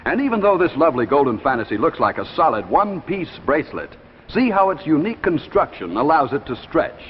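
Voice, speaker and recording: An American man announcing and pitching products in a polished, emphatic 1950s television advertising style. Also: sing-song, a little fast, slight background noise. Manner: polished, emphatic salesman delivery. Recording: muffled 1950s broadcast with hall reverb.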